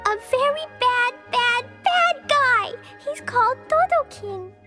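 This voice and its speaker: A woman voicing a small, earnest, naive and excitable girl in a video game cutscene, talking in a childlike way. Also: very high-pitched, slow pace, heavily acted. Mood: worried, upset. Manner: childlike, speaks of self in third person. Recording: music behind the voice.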